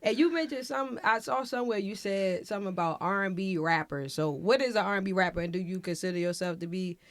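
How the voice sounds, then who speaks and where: A woman's voice: mid-range voice, fast, no room sound. Chatty woman hosting an interview. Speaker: podcast host. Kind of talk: casual interview chat.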